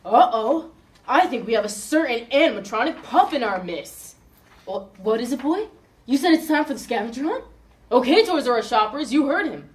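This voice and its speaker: A woman acting as a cheery store employee making bright, salesy announcements to shoppers. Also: on the high side, lively intonation, moderate pace, slight background noise, natural voice. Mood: chirpy. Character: chirpy toy-store employee with a megaphone voice.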